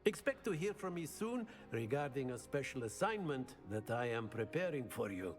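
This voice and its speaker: Guy doing genial older wizard professor for a game, very animated and formal, loves a flourish, music under it. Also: mid-range voice, moderate pace, close to an everyday voice. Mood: brisk, encouraging. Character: genial, theatrical older male charms professor at a wizard school. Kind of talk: scripted video game acting.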